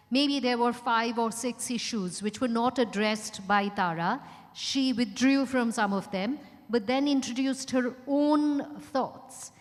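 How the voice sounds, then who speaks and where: Higher-voiced woman moderating in echoey hall. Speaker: interviewer, moderator. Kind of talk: unscripted live on-stage discussion. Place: event hall at a hotel. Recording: echoey hall with long reverb.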